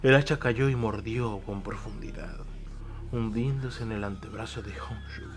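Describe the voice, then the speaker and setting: A man's voice: on the low side, steady tone, unhurried, background noise. A man narrating a scripted war story for an audio drama in a dramatic storytelling style. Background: prominent music.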